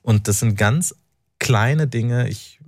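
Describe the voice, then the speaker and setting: A man from North Rhine-Westphalia, an author and journalist, speaking as a radio interview guest in a thoughtful, halting way with many fillers. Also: medium pitch, no echo, clean studio sound.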